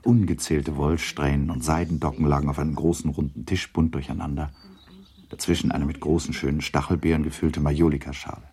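A man narrating a literary radio drama in a measured, deliberate storytelling manner, with a gravelly, husky voice. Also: extremely low pitch, little pitch movement, a little mellow. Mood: measured, descriptive. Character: measured narrator of a classic novel.

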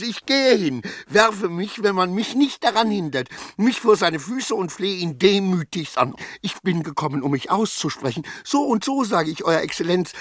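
A man's voice: high-pitched, a bit bright, dry recording, studio quality, exaggerated voice. A distraught man rambling to himself. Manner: agitated, rambling, self-questioning, formal old-fashioned phrasing. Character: distraught, obsequious man losing his grip, ranting to himself. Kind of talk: radio play monologue.

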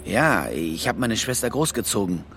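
An actor playing a defensive, bereaved brother, a stadium caretaker, guarded and curt in a radio drama. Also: male voice, fairly low pitch, big pitch swings, normal speed, fairly bright tone. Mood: shaken, defensive. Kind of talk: German radio drama acting.

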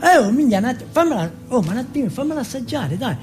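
A man voicing an excitable, streetwise teenage boy in a stage monologue, slangy, insistent and full of swearing. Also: very high-pitched, fast, faint music behind, pitched above the performer's own voice. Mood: excited, urgent. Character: excitable, foul-mouthed streetwise teenage boy from housing projects.

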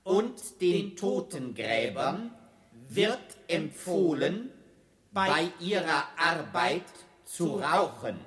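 A stern town official issuing plague orders in a radio drama. The voice is forceful and lively in pitch, measured and extremely slow. It echoes around the space. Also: male voice, heavily acted. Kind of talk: radio play proclamation. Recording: echoey room.

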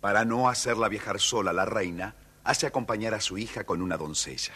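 A man's voice: fairly low pitch, fairly quick, sharp tone, husky. A man with an Argentine accent narrating a scripted children's story as the storyteller.

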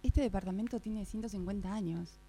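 A woman acting a doubtful, stubborn flat buyer who haggles and repeats herself, with an Argentine accent, in an improvised radio drama. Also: mid-range voice, fairly quick, pitched below the performer's own voice, close to an everyday voice. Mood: doubtful, insistent. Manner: repeats words insistently. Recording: faint mains hum.

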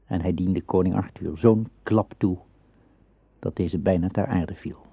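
A man narrating a radio play as a storyteller, in a measured storybook manner. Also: deep voice, vocal fry, husky, no echo. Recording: slightly dull radio play recording.

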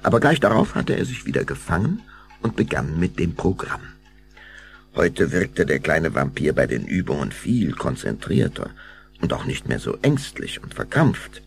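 A man's voice: extremely low pitch, very animated, moderate pace. A man narrating a German children's audio drama as a scripted storyteller. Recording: clear background hum and noise.

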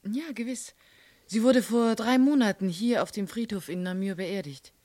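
Woman acting young amateur sleuth, medium pitch, courteous, probing, close dry studio sound. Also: normal speed, a little mellow, dry recording, close to an everyday voice. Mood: polite, probing. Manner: polite, probing. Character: inquisitive young woman quietly investigating a death. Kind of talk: radio drama acting.

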